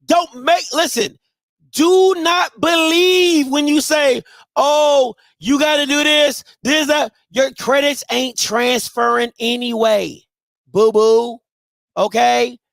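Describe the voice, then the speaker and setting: A high-pitched man in his thirties hosts a live call-in show, talking bluntly and emphatically. He swings from quiet asides to shouting, and the sound is dry and close. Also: fairly even intonation, slow, gravelly, no echo. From Maryland. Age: 30s. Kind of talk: casual advice talk to listeners.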